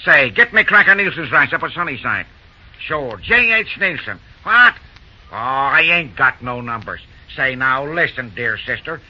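An actor playing a folksy young railroad yard worker, talking in breezy slang, in a 1930s radio drama. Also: male voice, medium pitch, expressive, a little slow, sharp tone, slightly rough, full character voice. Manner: breezy slangy phone talk. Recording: dull old radio recording.

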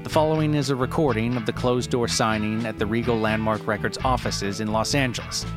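Deadpan male narrator over guitar music. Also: medium pitch, fairly quick. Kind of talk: scripted documentary-style narration. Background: plucked guitar music.

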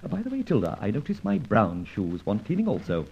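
A man acting a suave, self-assured gentleman adventurer in an old-time radio drama, breezy and urbane in his old-fashioned phrasing. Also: on the low side, wildly swooping pitch, a little fast, gravelly, husky, exaggerated voice. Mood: assured, teasing. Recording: dull, lo-fi old radio recording.

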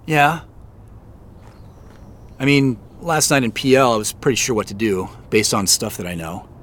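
A man's voice: mid-range voice, lively intonation, normal speed, fairly bright tone, slight background noise, close to an everyday voice. An actor playing an uneasy, self-deprecating businessman in a naturalistic audio drama, talking hesitantly with small chuckles. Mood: tentative, thoughtful. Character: uneasy, wry businessman searching for answers.

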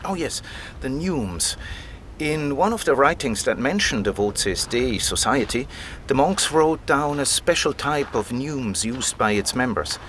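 Animated male scholar explaining old legends. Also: medium pitch, a little slow, slight background noise. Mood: thoughtful. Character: male scholar Elias, keen patient explainer of medieval legends. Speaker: actor in an audio drama. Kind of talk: conversational audio drama dialogue.

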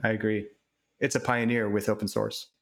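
A man's voice: on the low side, level tone, fairly quick, vocal fry. A male podcast host leads the interview with eager, friendly questions. He sets out topics in a chatty, conversational tone.

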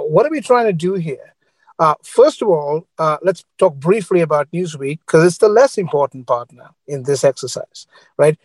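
Earnest Sri man, raised pitch, dry close mic, exec guest on a panel. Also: dry recording, low-quality recording. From Sri Lanka. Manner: earnest, keeps adding a checking "right?". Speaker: media executive guest.

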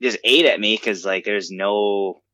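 Low-voiced man in a dry room. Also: unhurried, sharp tone, no echo. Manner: self-deprecating, rambling with fillers. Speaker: podcast co-host. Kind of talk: casual chat.

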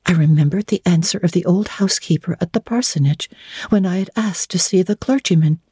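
A woman narrating classic literature in a dramatic, measured and formal storytelling style. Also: on the low side, dark tone, breathy edge, dry recording, studio quality. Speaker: audiobook narrator.

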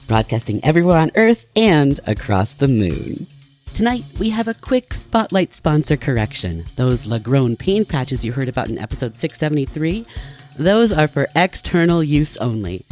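A medium-pitched male announcer voice with animated cadence over light drum music. An actor plays a dryly earnest broadcaster reading sponsor notices with smooth, deadpan polish. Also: moderate pace, lightly acted. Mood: dry, official. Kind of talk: radio announcement.

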